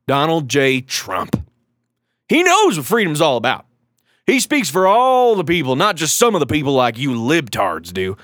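A man's voice: mid-range voice, a bit bright, no room sound, clean studio sound. A man doing a blustering gun-nut radio pundit. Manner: blustering, swaggering, mocking, swings up into whoops and jeers. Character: loud, bigoted gun-loving male conservative radio pundit. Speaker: guest correspondent on a college radio show. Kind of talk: satirical character comedy.

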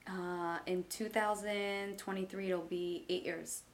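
A woman, a realtor talking in a relaxed, chatty, easygoing way. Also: medium pitch, slow, slight background noise. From Brooklyn, New York. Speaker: realtor guest. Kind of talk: casual interview chat.

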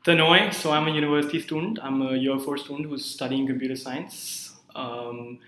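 A man, a university student talking as an interview guest, self-deprecating and quick to laugh. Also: medium pitch, fairly even intonation, bright, forceful tone. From India. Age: 20s. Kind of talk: casual interview. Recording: roomy echo.

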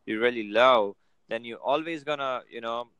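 A man's voice: medium pitch, moderate pace, a bit bright, clean tone, dry recording, lo-fi sound. A man giving casual motivational advice on a video call, filling his talk with "you know" and "right?". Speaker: guest.

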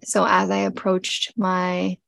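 A woman of forty hosting a podcast, speaking gently and from the heart with many pauses while she gets over a cold. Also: on the low side, completely flat delivery, vocal fry, no room sound. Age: 40s. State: congested, getting over a cold. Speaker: podcast host. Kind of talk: personal reflective podcast talk.

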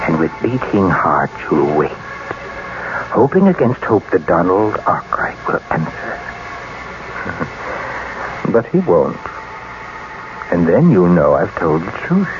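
A sly, possessive killer husband, with a low voice that swoops up and down as he gloats and threatens. He is smooth and mocking in an old radio drama, heard through a muffled recording with loud hiss. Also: full character voice. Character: scheming, possessive husband who killed a rival. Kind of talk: 1940s radio acting.

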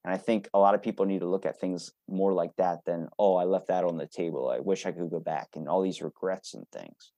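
A man's voice: deep voice, fairly even intonation, a little fast, hardly any pauses, warm tone, no echo. A man hosting a casual podcast, talking in a blunt, informal, anecdotal way. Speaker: podcast host.

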